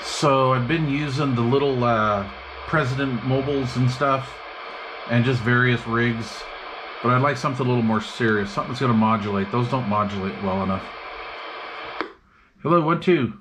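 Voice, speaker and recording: A man's voice: mid-range voice, moderate pace. A man chatting casually and thinking aloud in slangy, unscripted talk as he bench-tests radio gear. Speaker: hobbyist host demonstrating and testing an old CB radio. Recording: noisy, radio static and chatter behind. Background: CB radio receiver hiss and chatter.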